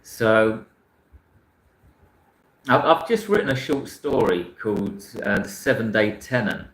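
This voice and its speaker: A man's voice: lowish voice, lively intonation, measured pace, fairly bright tone, roomy sound, low-quality recording. A man from South London chatting casually about books, rambling and frank, often restarting his sentences. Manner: rambling, self-correcting, frank. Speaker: book channel host. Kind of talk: casual chat reading viewer comments.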